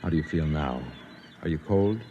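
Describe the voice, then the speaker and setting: An actor playing a brooding, principled man who speaks and narrates quietly and earnestly in a 1950s radio drama. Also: extremely low pitch, lively intonation, normal speed, muffled recording, heavy background noise. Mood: tender. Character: brooding, principled man, gentle narrator of his own story. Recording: vintage radio drama recording with music behind.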